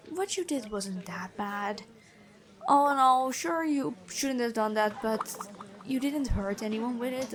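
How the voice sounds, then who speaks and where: Woman playing a gentle, high-voiced female prison guard. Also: noisy background. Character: stern but gentle noble-born female prison guard, curious, bookish. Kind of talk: ASMR fantasy roleplay, addressing the listener.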